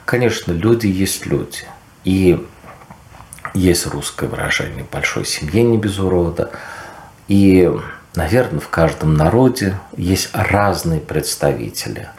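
A man giving a reflective, measured talk about history, pausing often. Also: low voice, lively intonation, darkish tone, a little hiss or hum. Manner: measured, with pauses and recited verse. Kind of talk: reflective lecture-style talk. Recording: roomy echo.

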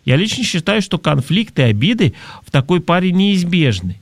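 Male talk host, slightly high-pitched, dry close sound, reflective and explanatory. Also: very animated, fairly quick, no echo. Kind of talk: conversational advice talk, reading listener messages.

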